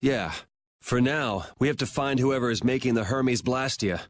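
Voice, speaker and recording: Man as a cool young game hero, medium pitch. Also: normal speed, lightly acted. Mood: matter-of-fact. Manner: laid-back, matter-of-fact. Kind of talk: video game voice acting.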